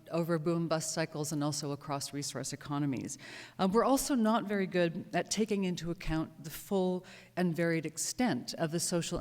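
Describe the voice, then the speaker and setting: A woman's voice: low voice, darkish tone. Woman professor with a cold, lecturing. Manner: measured, frequent ums. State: has a cold. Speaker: professor presenting research. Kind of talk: academic conference talk. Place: lecture hall. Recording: reverberant lecture hall.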